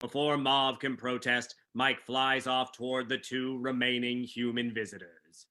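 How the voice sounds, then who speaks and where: A man's voice: medium pitch, little pitch movement, unhurried, bright, forceful tone. A man reading scripted narration for a staged play reading.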